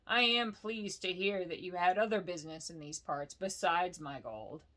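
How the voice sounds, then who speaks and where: A woman reading aloud, voicing a huge, ancient, wicked and wily male dragon in slow, gloating, booming speech. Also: bright, forceful tone. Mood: mocking.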